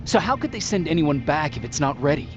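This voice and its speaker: An actor playing a terse, earnest resistance soldier in a video game. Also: male voice, fairly high pitch, sing-song, a little fast, lo-fi sound. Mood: puzzled. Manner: terse, questioning. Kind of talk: video game cutscene acting.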